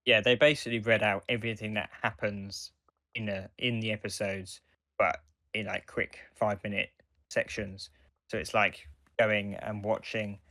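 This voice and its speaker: A man with a lowish voice co-hosts a podcast. He talks in a casual, conversational way. The recording is dry, without room echo. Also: pushed and bright. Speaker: podcast co-host. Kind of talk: casual discussion.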